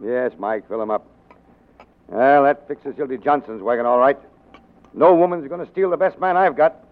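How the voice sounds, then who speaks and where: An actor playing a tyrannical, scheming newspaper managing editor in a sarcastic, bossy 1940s radio drama style. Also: male voice, medium pitch, lightly acted. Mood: smug. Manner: sarcastic, bossy, fast-switching from barking to wheedling. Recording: muffled narrow-band 1940s radio recording.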